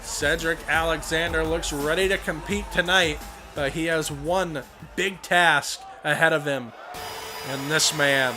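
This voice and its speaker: A young man with a hoarse voice from a cold, commentating a wrestling video game play-by-play and rising to shouts on big moves. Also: a little high, fairly even intonation, a little slow, music in the background. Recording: home recording with clear background noise.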